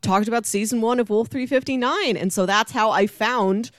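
A woman's voice: fairly high pitch, moderate pace, no room sound, studio quality. A woman hosting a podcast in a casual, friendly chat, talking appreciatively to her guest. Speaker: podcast host.

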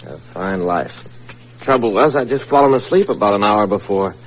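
An actor playing a weary, nosy newspaper reporter with a sardonic, slangy manner in an old-time radio mystery. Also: male voice, on the low side, expressive, normal speed, close to an everyday voice. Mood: weary grumbling. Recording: muffled vintage radio recording.

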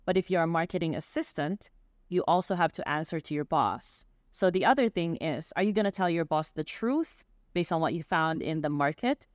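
A woman's voice: low voice, animated, moderate pace, no echo, studio quality. A woman business owner, a podcast guest, explaining energetically with examples and asking "right?" often. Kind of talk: interview answers.

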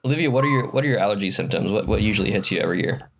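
A young man with a slightly stuffy, lowish voice trades wry remarks on a podcast and laughs through his lines. A steady hiss is clearly heard under his recording. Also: rapid-fire. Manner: wry, laughs while talking. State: congested. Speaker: podcast co-host. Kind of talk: casual chat.

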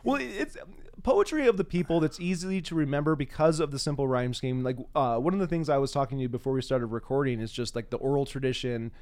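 A man's voice: mid-range voice, quick pace, darkish tone, smooth. A man hosting a podcast, chatting casually and thinking aloud, at times reciting simple rhyming verse. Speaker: podcast host.

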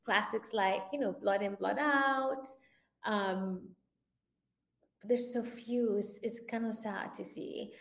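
A woman who grew up in Venezuela, a filmmaker guest in a casual interview, talking in an animated way and laughing often. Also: mid-range voice, slow pace, gravelly. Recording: roomy echoey sound.

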